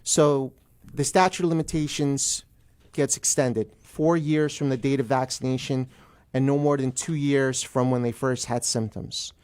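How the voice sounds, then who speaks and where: A middle-aged man with a mid-pitched voice talks as a legal guest on a radio show. He explains procedure emphatically, checking that the listener follows. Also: steady tone, a little slow, darkish tone, smooth.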